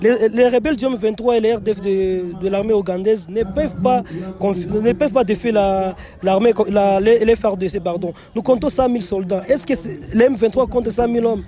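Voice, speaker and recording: A man's voice: sits high, fairly quick. A young man from Goma with a Congolese French accent, an NGO director answering interview questions earnestly and repeating phrases for emphasis, outdoors on location. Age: young adult. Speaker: NGO director and youth political official. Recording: loud crowd noise behind.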